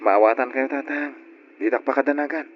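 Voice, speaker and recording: A woman playing a cheerful, caring young adult daughter in an old radio drama, speaking with lively affection. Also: very deep voice, brisk, a little hiss or hum. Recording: dull, lo-fi old radio recording.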